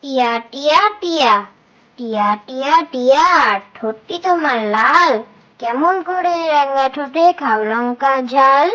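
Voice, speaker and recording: A high, childlike voice reciting nursery rhymes for children in a sing-song way, line by line. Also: unhurried, pushed and bright.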